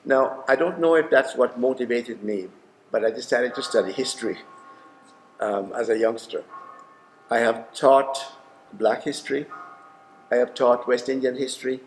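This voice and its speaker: A man's voice: mid-range voice, moderate pace, long pauses, slight background noise, low-quality recording. A man from Jamaica with a Jamaican accent, a history professor telling a personal story in a wry, conversational way. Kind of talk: documentary interview, storytelling.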